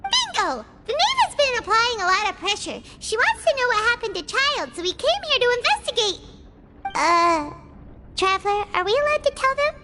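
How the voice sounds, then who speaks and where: A woman voicing a tiny childlike fairy companion in a video game, squeaky, perky and blunt. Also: sing-song, normal speed, noisy background. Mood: perky, candid.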